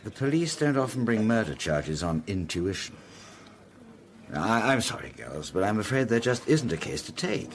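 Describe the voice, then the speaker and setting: A man's voice: lowish voice, big pitch swings, moderate pace. A British man acting in a radio drama as a reluctant, sceptical middle-aged father who gardens and investigates, with a hesitant, stammering delivery. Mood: reluctant, weary. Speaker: actor in lead role.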